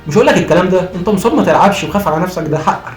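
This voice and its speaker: Football vlogger: Egyptian male, very fast, strained voice, impassioned and emphatic, roomy sound with faint music. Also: high-pitched, lively intonation. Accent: Egyptian Arabic. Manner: emphatic, argumentative, repeats phrases. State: strained, voice worn out from cheering. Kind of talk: impassioned post-match fan commentary.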